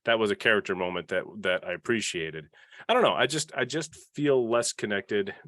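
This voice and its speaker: A man's voice: on the low side, brisk, a bit bright, no room sound, studio quality. A man in casual panel discussion, tentative and questioning his own opinions as he goes. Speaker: podcast panelist.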